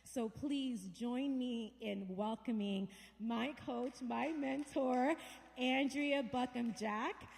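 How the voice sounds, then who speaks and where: A woman's voice: on the high side, slow, gravelly, darkish tone. A woman hosting a church event, earnestly and gratefully introducing her guest speaker. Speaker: event host. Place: large church hall. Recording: long reverb of a big church hall.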